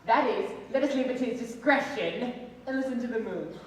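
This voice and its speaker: A woman's voice: a little high, fairly quick, lightly acted. A woman acting a witty noble spectator who makes mocking remarks in Shakespearean stage speech. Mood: wry amusement. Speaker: actor in a school stage play. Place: large indoor stage hall. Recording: reverberant hall, distant mic, faint noise.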